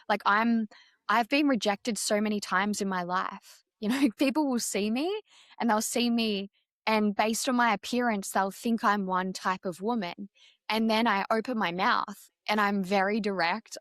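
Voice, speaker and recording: A woman's voice: medium pitch, a bit bright, no echo, clean studio sound. A woman guest in an interview, talking directly and openly about her life, with frequent fillers and restarts. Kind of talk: candid personal interview.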